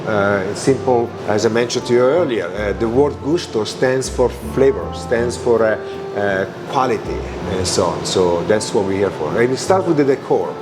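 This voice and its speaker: Italian-accented restaurant owner, halting answers, music underneath. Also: male voice, on the low side, lively intonation. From Italy. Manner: halting, many fillers and restarts. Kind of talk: interview answers.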